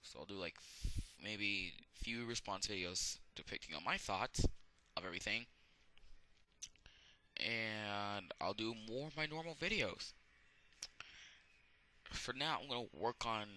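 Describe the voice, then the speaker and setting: A man's voice: lowish voice, bright, forceful tone. A young man giving a rambling, casual movie review, full of fillers and restarts, with a sore throat. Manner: halting, many fillers and restarts. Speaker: amateur video reviewer.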